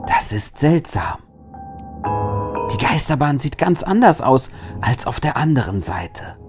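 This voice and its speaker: Expressive male storyteller with lively pitch. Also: unhurried. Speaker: host and story reader. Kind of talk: dramatic storytelling for an interactive story. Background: music.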